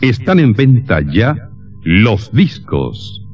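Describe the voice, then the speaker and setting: A male announcer delivers an old radio promo. He is very deep and theatrical, with big swings of intonation, over clear music on a dull recording. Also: sing-song, drawn-out words.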